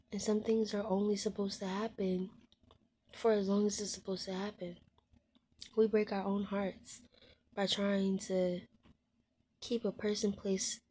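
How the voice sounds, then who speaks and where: A woman in her twenties speaking candidly to her viewers, frank and heartfelt, often dropping to a soft hushed voice and swearing bluntly. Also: medium pitch, a little hiss or hum. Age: 20s. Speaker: vlogger. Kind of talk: candid personal monologue to viewers.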